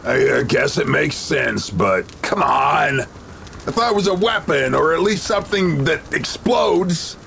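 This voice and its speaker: A man voicing a cocky, wisecracking American action-hero soldier in a video game, with deadpan swagger. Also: fairly high pitch, measured pace, gravelly, slight background noise, exaggerated voice. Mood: disappointed, joking. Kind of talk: video game cutscene acting.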